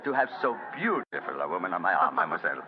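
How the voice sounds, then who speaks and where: A courtly French casino director, with wildly swooping intonation and flowery flattery. He comes through a dull old radio recording with faint noise. The style is vintage radio drama. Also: low voice, rapid-fire. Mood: courtly flattering. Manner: courtly, flirtatious, effusive.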